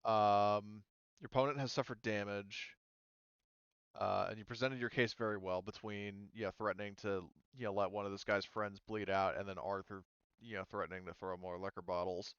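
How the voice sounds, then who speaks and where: Male game master, lowish and clean-voiced, halting tabletop delivery, slightly dull dry recording. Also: flat delivery, fairly bright tone, smooth. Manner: halting, thinking aloud. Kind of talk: running a tabletop roleplaying game. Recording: roomy, slightly muffled microphone.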